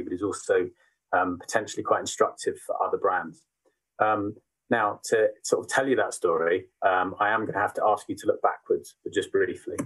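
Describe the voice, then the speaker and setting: A man's voice: fairly low pitch, poor audio. A British man in his forties giving a conference presentation as a marketing director, with dry self-deprecating humour and frequent ums. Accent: British, not Yorkshire. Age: 40s. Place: remote conference session.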